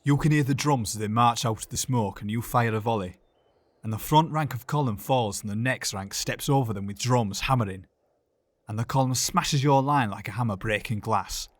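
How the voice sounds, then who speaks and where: A man's voice: medium pitch, normal speed, no echo, natural voice. Man playing a rousing Yorkshire soldier. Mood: steely, rousing. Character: rousing, steely Yorkshire soldier addressing his men. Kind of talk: period war drama speech.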